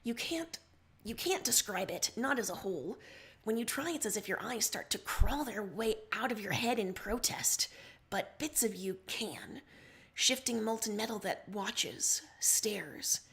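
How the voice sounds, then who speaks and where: A woman reading a story aloud in an expressive, theatrical way, as a dramatic narrator. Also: medium pitch, measured pace, gravelly. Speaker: livestream story reader.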